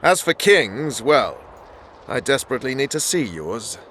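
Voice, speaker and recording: An actor playing an easygoing, plain-spoken knight in a fantasy video game, talking familiarly with fellow soldiers. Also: male voice, medium pitch, very animated, a little slow, fairly bright tone, a little hiss or hum. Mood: placating.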